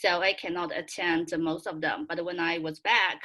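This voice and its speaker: A young adult woman from China with a Chinese accent, chatting casually in halting, excitable, giggly English on a video call. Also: lowish voice. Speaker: student and fan club member.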